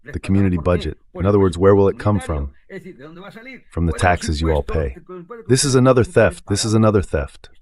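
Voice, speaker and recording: A man's voice: sits low, fairly quick, a little mellow. A man giving emphatic, sarcastic political commentary as a guest analyst, in a translated voice-over.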